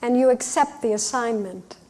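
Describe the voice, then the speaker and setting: A woman workshop leader leading a guided visualization in a gentle, soothing manner, speaking in short phrases with pauses. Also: on the high side. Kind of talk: guided meditation, unhurried storytelling instruction.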